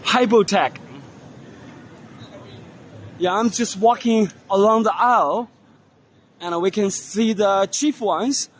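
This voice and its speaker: Slow, hoarse high-voiced man. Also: slight background noise. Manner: unhurried, halting, many fillers. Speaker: vlogger touring a trade fair. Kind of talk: casual walking-tour commentary. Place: indoor exhibition hall.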